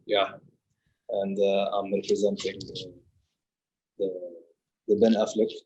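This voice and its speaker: A brooding masked vigilante, put on by a man in a dark, flat, husky growl. The voice stays deep and barely moves in pitch, and it halts between words. It comes over a call. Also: highs cut off, heavily acted. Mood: gruff. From UAE. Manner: halting, with many fillers.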